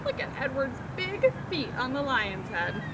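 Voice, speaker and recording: Female storytime reader, very lively intonation, engaging young listeners, noisy ambient recording. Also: high-pitched, unhurried. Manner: expressive, asks listeners questions about the pictures. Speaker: storytime reader, zoo educator. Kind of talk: children's picture book read-aloud.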